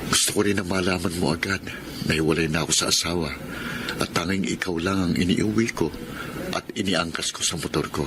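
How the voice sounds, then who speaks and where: A man's voice: deep voice, fairly even intonation, brisk, noisy background, natural voice. A man acting a humble, working-class father and devoted suitor, sincere and pleading, in a Filipino radio drama.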